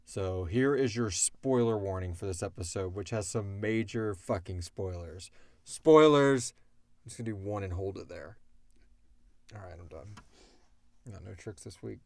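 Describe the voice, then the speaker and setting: A man co-hosting a casual question-and-answer chat, speaking in an animated way and swearing freely. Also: fairly low pitch, a little slow, no echo. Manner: animated, swearing, acts out imagined dialogue. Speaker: co-host of an audio drama Q&A show.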